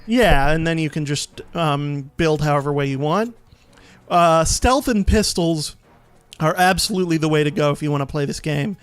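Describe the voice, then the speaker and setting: A man's voice: on the high side, moderate pace, smooth, no echo. A man giving casual gameplay commentary as a let's play host, explaining in a rambling, hesitant way. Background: game menu music.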